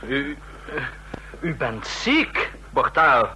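An actor in an old radio play playing a flustered, indignant assistant to a spy chief, stammering and agitated. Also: male voice, mid-range voice, big pitch swings, drawn-out words, sharp tone, full character voice. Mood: shaken. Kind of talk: vintage Dutch radio play acting. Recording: noisy, humming old radio recording.

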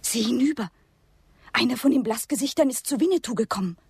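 An actress playing a dreamy, wistful young Comanche woman in a radio drama, with a very breathy, often half-whispered voice. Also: fairly high pitch, animated, fairly quick, darkish tone, no room sound, studio quality, heavily acted. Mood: dreamy longing.

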